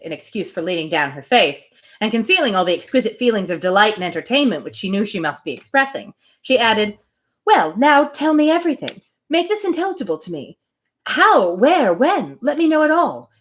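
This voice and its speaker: A woman reading a classic novel aloud, giving the dialogue an expressive, shifting delivery, then chatting about the story. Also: fairly high pitch, moderate pace. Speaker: reader and host.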